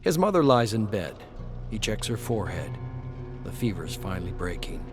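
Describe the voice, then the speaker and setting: A man's voice: fairly low pitch, sing-song, moderate pace. A man narrating a scripted game story in a dramatic storytelling style. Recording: music under the voice.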